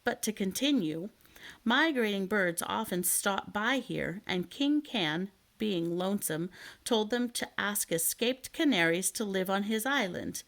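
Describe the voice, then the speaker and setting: A woman's voice: medium pitch, animated, a little slow, a bit bright. Woman voicing a chatty little canary. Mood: explanatory. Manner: polite, talkative. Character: chatty, worried little male pet canary. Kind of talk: children's storybook read aloud.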